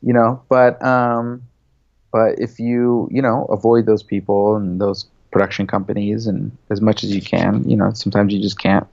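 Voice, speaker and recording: A man's voice: on the low side, level tone, normal speed, vocal fry, a little mellow, dry recording, highs cut off. A man in his thirties, a filmmaker and podcast guest, talking in a loose, rambling way full of fillers. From United States. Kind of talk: casual interview.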